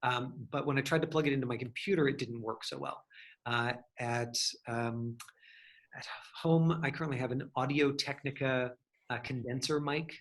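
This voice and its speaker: A man's voice: mid-range voice, steady tone, moderate pace, fairly bright tone. A man leading a casual online class as an acting teacher, thinking aloud in a rambling, hedging way as he answers questions.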